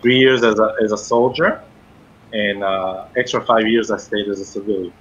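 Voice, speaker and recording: A man in his forties from Israel with an Israeli accent, a guest in a casual interview, explaining his work carefully with many pauses and fillers. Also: on the low side, fairly even intonation, a bit bright, highs cut off, a little hiss or hum. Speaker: guest, fitness trainer and shiatsu practitioner.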